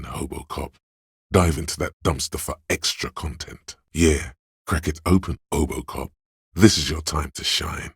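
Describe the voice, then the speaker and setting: Very deep gravelly male game narrator. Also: no room sound, clean studio sound, heavily acted. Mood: egging on. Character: inner voice of hand-eye finesse, cool, sly, goading. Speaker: video game voice actor. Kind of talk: dramatic second-person game narration.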